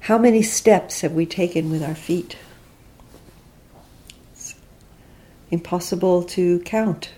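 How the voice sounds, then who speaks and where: A woman teacher leading a guided body scan meditation, speaking in gentle, reflective, unhurried instructions with long pauses. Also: lowish voice.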